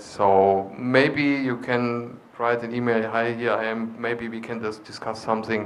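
A man's voice: fairly low pitch, poor audio. Man moderating a casual discussion. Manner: hesitant, with fillers and restarts. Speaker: session moderator.

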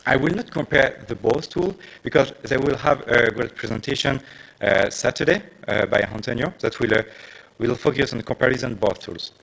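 A man from France with a French accent, giving a technical conference presentation in hesitant, non-native phrasing with frequent pauses. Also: medium pitch, vocal fry, fairly bright tone, slightly rough, rough recording. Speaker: conference speaker.

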